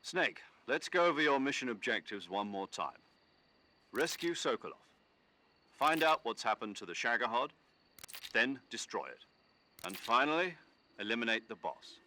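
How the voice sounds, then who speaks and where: A brisk male military commander for a dramatic game briefing. His voice is crisp-edged and forceful, swinging expressively in pitch as he lays out details with precise authority. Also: sing-song. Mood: firm, commanding. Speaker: mission commander.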